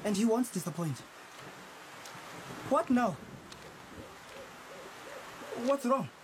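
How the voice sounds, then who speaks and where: A man's voice: very high voice, big pitch swings, a little slow, full character voice. An actor playing a controlling, scornful man who mocks and laughs at his partner. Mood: dismissive. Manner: mocking, laughs at her. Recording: noisy background.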